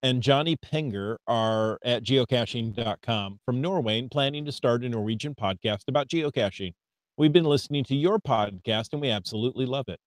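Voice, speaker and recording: A man's voice: mid-range voice, no echo. An American man co-hosting a casual hobby podcast, reading listener emails aloud and stumbling over foreign words. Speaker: podcast co-host.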